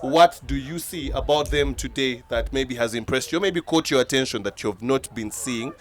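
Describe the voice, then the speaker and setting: A man's voice: medium pitch, normal speed. A man from Uganda hosting a podcast, chatting with fans at an outdoor rugby event in a teasing, sing-song way. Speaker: podcast host interviewing fans. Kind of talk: casual interview chat at a rugby event.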